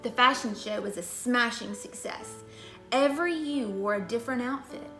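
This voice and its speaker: A woman's voice: on the high side, moderate pace, pushed and bright, echoey room, soft background music, poor audio. A woman reading a children's storybook aloud in a scripted narration style. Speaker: story reader.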